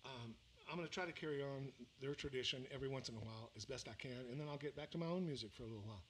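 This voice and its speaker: Brief: older Southern-raised male musician, loose rambling stage banter, mid-pitched voice, faint room hum behind. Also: rapid-fire, low-quality recording. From Mississippi, raised in Louisiana. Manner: rambling, relaxed, full of fillers and restarts. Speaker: musician performing a livestream. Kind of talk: casual between-song chat. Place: small music venue, indoors. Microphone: vocal mic on a stand.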